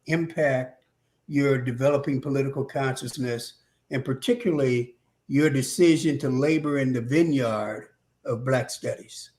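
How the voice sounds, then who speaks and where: A man hosting an interview, asking measured, formal questions. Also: mid-range voice, a little mellow. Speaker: interview host.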